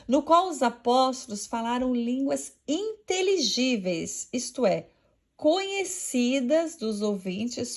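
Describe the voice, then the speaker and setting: Slow, gravelly Portuguese woman. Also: fairly high pitch, darkish tone. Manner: measured, devout reading. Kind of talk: scripted religious reflection.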